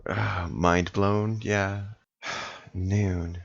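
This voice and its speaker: A man with a low voice plays along in an improvised tabletop game. He is informal and reactive, thinking aloud as he goes. The home studio recording is dry and slightly dull. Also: flat delivery, extremely slow, creaky, dry recording. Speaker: player in a role-playing game podcast. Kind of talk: casual improvised tabletop play.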